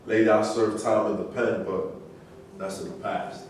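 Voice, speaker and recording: A man's voice: lowish voice, level tone, normal speed, lightly acted. A man playing a tough, street-smart former boxing champion in a staged reading. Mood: tough, aggrieved. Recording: echoey room, far mic, faint noise.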